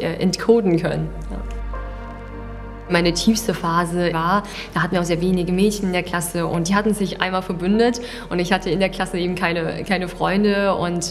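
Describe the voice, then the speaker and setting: A woman's voice: lowish voice, big-room sound. A woman in her twenties from China, speaking earnestly and reflectively as a startup founder, with small hesitations. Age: 20s. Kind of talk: personal portrait interview.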